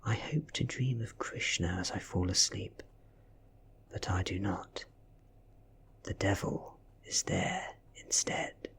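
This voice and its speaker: A British man reading a story in a soft ASMR style, half-whispered and breathy, with deliberate dramatic pauses. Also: deep voice. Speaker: audiobook reader.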